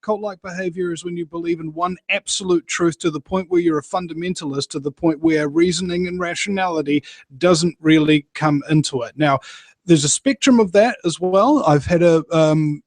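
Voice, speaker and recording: A man explaining his views in a measured, articulate, thoughtful way. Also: fairly high pitch, no echo. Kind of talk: calm explanation.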